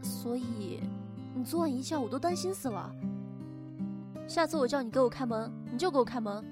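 A woman voice actor playing a caring, bossy young friend with a sharp tongue in an audio drama. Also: sits high, animated, normal speed. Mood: concerned, insistent. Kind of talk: Chinese audio drama acting. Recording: prominent acoustic guitar music behind.

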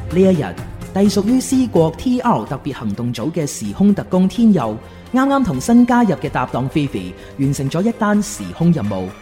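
A narrator delivers scripted radio drama storytelling. The voice is dark and mellow with very lively intonation, over light music. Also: medium pitch, moderate pace. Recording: soft music behind the narration.

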